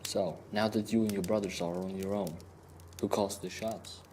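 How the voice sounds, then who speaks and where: Mellow, polite interviewer played by a man. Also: fairly low pitch, fairly even intonation, moderate pace, close to an everyday voice. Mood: inquiring. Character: polite male interviewer questioning a young woman. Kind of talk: amateur audio play, interview questions. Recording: noisy amateur home recording.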